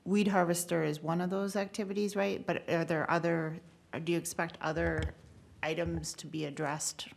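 Low, flat, creaky-voiced woman. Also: moderate pace. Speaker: council meeting participant asking questions. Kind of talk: formal meeting discussion.

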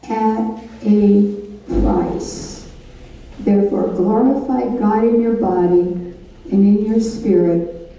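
A woman's voice: mid-range voice, mellow, recorded from a distance. Older woman teaching in an echoey hall. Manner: folksy, conversational, laughs at her own stories. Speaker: Bible study teacher. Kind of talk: Bible study teaching with anecdotes. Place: church hall stage with projector screen. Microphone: handheld microphone.

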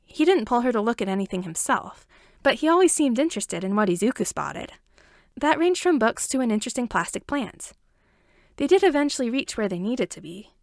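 A woman's voice: a little high, sing-song, fairly quick, a little mellow, no room sound, clean studio sound. A woman reading a story aloud as an amateur audiobook narrator, in scripted narration.

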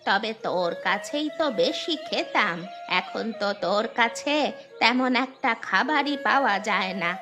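A woman's voice: on the high side, animated, unhurried. An old village grandmother in a cartoon, blunt and choosy. She chides, prominent music playing behind. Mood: chiding. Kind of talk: Bengali village cartoon dialogue.

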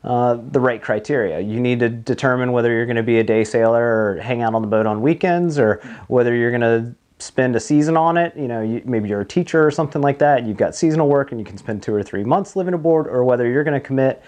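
A man's voice: mid-range voice, fast talker, few pauses, a little mellow. A man in his thirties speaking as a broker being interviewed, explaining in a conversational way in a small office. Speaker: marine broker, interview guest. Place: small office meeting room, indoors.

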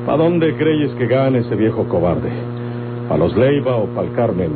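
A man's voice: mid-range voice, sing-song, unhurried, background noise. An actor playing a domineering middle-aged outlaw father with a rural Mexican accent in an old radio drama. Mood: commanding. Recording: muffled old radio recording with music.

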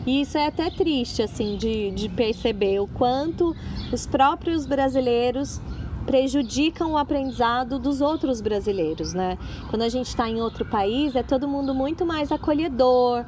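A woman's voice: high voice, moderate pace, darkish tone. A middle-aged Brazilian woman, an English teacher, talking earnestly in a casual conversation and explaining with examples. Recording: street noise behind.

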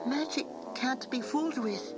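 A woman's voice: high-pitched, lively intonation, unhurried, music in the background, pitched above the performer's own voice. A woman voicing a clever, sensible young helper in a storybook read-aloud, speaking earnestly.

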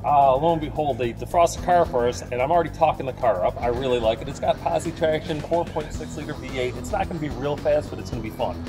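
A man's voice: mid-range voice, quick pace, few pauses. A man in his forties chatting casually about his cars, telling long stories with many fillers and restarts, recorded first inside a car and then outdoors in a driveway. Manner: rambling storyteller, fillers and restarts. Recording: background music under the talk.

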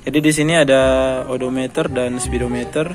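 A man hosting a casual motorcycle how-to vlog, explaining things slowly step by step. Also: medium pitch. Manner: slow, flat step-by-step explaining. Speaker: motovlog host. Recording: music bed underneath.

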